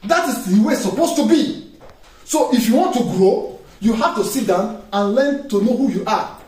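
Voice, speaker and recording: A man's voice: very high-pitched, expressive, moderate pace, darkish tone. A man, a pastor preaching a sermon with emphatic call-and-response questions to his congregation. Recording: echoey room, distant mic, faint noise.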